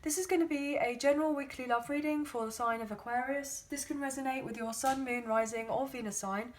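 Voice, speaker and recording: Woman, sore-throated voice, rambling tarot reading, slightly high, faint background hiss. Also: fairly quick. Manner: chatty, rambling, hedging with many fillers. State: sore throat, losing her voice. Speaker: tarot card reader. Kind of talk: casual spoken tarot reading.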